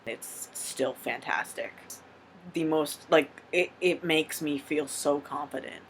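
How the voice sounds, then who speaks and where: A man's voice: fairly high pitch, slow pace, slight background noise. A young man casually answering viewer questions on his vlog, frank and rambling, swearing freely. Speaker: vlogger.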